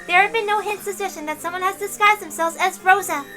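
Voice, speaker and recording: Woman acting a very high, stern inquisitor. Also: fast. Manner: formal, declarative, unyielding. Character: stern, formal female inquisitor reciting absolute laws. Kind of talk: dramatic visual novel read-aloud. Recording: loud music behind the voice.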